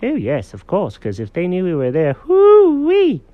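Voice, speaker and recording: Storybook cat, played by a man: genteel, fretful, a touch vain, pitched up and swooping. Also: wildly swooping pitch, unhurried, no echo, phone-quality sound, exaggerated voice. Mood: nervous, agreeable. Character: refined, nervous, slightly vain male pet cat.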